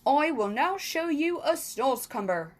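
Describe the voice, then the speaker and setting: A woman voicing a kindly, very old male giant in a storybook reading, booming and drawn out, mangling his words and grammar. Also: big pitch swings, measured pace. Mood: grand, disgusted.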